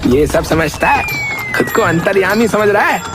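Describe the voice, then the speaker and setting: A man's voice: sits high, big pitch swings, normal speed. A man voicing a cowardly, grumbling royal minister in a cartoon, stammering, hesitant and whiny. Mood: muttering, scornful. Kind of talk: cartoon voice acting. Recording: music behind the voice.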